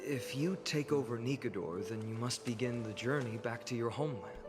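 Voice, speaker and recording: A man voicing a calm, thoughtful companion in a game, giving measured, sympathetic counsel. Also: mid-range voice, music in the background, close to an everyday voice.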